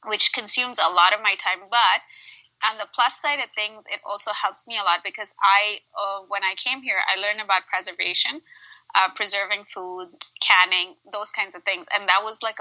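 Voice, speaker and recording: A woman's voice: medium pitch, moderate pace, sharp tone, muffled recording. A woman from Dubai in her thirties, a home cook guest talking animatedly in a casual interview and laughing often.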